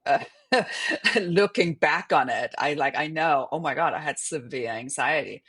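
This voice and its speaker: A woman's voice: sits low, measured pace, fairly bright tone, husky. Middle-aged woman from Denmark, conversational. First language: Danish. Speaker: podcast guest, author and yoga teacher. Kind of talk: conversational interview talk.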